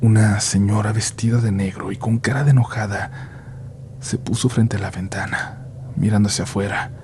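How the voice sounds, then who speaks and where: Man with a low, dark voice. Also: fairly even intonation, normal speed, a little hiss or hum. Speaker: horror story narrator. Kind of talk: scripted first-person horror narration.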